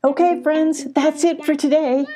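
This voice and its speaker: A woman's voice: high voice, fairly even intonation, measured pace, mellow, soft background music. An older woman reading a scripted children's story as a storyteller.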